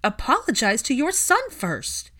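An impassioned young woman from an audiobook, played by a female reader, pitched well up and forceful in delivery. She is fiercely loving, defiant and wounded, and leans hard on her words. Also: huge pitch swings, a little slow, exaggerated voice. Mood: angry, resolute. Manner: emphatic, impassioned.

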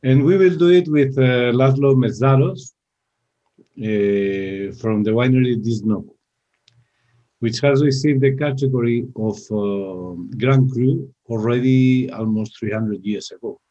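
A man's voice: medium pitch, measured pace, mellow, muffled recording. A man from Spain with a Spanish accent, hosting a wine radio interview in English with many filler uhs. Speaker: radio show host.

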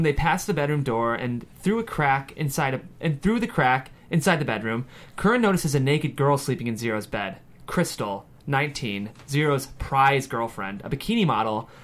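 A man's voice: medium pitch, big pitch swings, normal speed, fairly bright tone. A man reads scene headings and action lines for a screenplay table read. His voice is mid-range, delivering scripted narration. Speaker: stage directions narrator.

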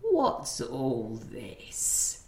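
A woman's voice: extremely low pitch, wildly swooping pitch, very slow, a little mellow, exaggerated voice. A woman acting a young, independent nursing student in an audio play, teasing and colloquial, in a roomy recording. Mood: guarded, teasing. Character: gran reliving herself as a young nursing student, cheeky, independent. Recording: roomy, echoing sound.

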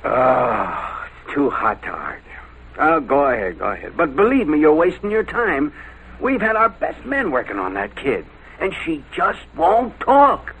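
Animated, exasperated American police lieutenant. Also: male voice, a little high, measured pace, full character voice. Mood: insistent. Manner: emphatic, folksy, exasperated. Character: weary, exasperated, tough male police lieutenant. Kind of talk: old-time radio drama acting. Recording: noisy vintage radio recording.

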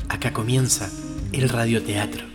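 Deep, sultry radio theatre announcer. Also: female voice, steady tone, moderate pace. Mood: seductive. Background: loud guitar music.

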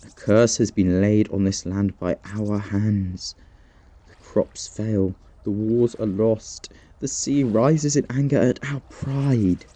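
Man as guilt-ridden companion, period drama, mellow voice, archaic solemn delivery. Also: lowish voice, steady tone, slow. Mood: grave. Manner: solemn, old-fashioned speech rising to desperate pleading. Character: guilt-torn male companion, fearful and remorseful.

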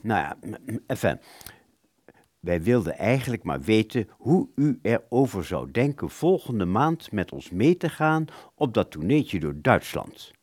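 A man playing an earnest, hesitant, low-voiced musician. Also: big pitch swings, measured pace, a little mellow, dry recording, studio quality. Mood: awkward pleading. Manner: hesitant, formal, stumbling. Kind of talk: radio play acting.

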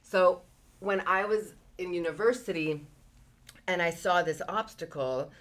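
Low-pitched woman from peru, guest on a chat, loose and chatty, says like a lot. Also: a little slow. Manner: relaxed and chatty, with frequent "like" fillers. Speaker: guest entrepreneur. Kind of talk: casual interview storytelling.

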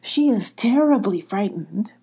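An older woman voicing a caring, anxious young female cat in a children's story, earnest and hushed. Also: mid-range voice, animated, unhurried, husky. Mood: worried.

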